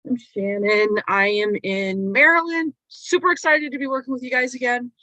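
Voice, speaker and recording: Woman, hesitant, lots of fillers, bright tense voice, dry muffled call audio. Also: on the high side, normal speed, dry recording. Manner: hesitant, full of fillers and self-corrections. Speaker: sew-along participant. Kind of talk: casual self-introduction. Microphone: video call mic.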